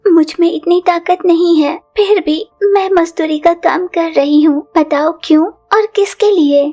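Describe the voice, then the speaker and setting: A woman's voice: very high voice, unhurried, a little mellow, soft background music. A woman voicing a poor, tired mother bird in a cartoon, explaining earnestly to her daughter. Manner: patient, earnest.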